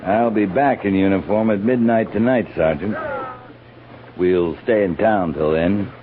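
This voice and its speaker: Gruff tired cavalry captain, american, low voice, old radio western, tinny noisy recording. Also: male voice, measured pace. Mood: wry, weary. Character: world-weary, gruff male cavalry captain. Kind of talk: 1950s radio western acting.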